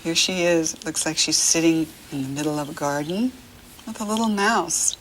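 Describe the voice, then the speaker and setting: An American woman teaching young children through a picture book, speaking to the child directly in simple, encouraging phrases with pauses. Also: sits low, slight background noise, low-quality recording.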